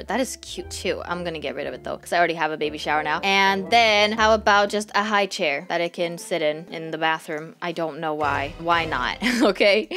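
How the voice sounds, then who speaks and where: A young woman talks her way through decorating a virtual house, chatty and quick to get excited. Her voice sits in a middle register. Faint game music plays under her headset mic. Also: mid-range voice. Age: young adult. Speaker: gaming video host. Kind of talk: casual gameplay commentary. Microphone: gaming headset.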